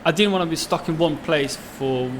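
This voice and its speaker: A man in his twenties with a Swedish accent, chatting in an interview as a chef, halting with many fillers and restarts. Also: fairly high pitch, noisy background. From Sweden. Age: 20s. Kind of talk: casual interview.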